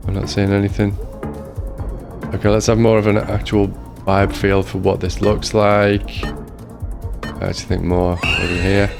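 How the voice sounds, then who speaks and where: Low gravelly man thinking aloud. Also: flat delivery, a little slow, music in the background. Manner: muttering thoughts aloud while searching. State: voice strained, maybe a cold coming on. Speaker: streamer playing a geography game. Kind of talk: casual gameplay commentary.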